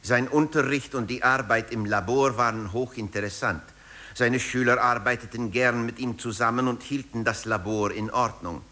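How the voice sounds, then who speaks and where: A man's voice: mid-range voice, moderate pace, sharp tone. A man delivering scripted narration for a satirical radio feature in a composed, explanatory way.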